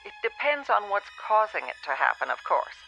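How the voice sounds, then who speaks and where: An actress playing a polite, matter-of-fact phone company operator in a radio drama, businesslike and heard through a telephone filter. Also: female voice, medium pitch, very animated, brisk, vocal fry, sharp tone, heavily acted. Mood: courteous. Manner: evasive, businesslike, courteous. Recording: dull telephone-style sound, faint music.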